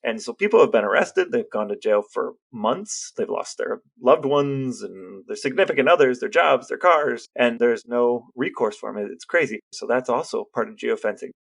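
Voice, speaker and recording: An American man co-hosting a podcast, explaining things casually in a measured, matter-of-fact way. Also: medium pitch, animated, creaky, slightly rough. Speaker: podcast co-host.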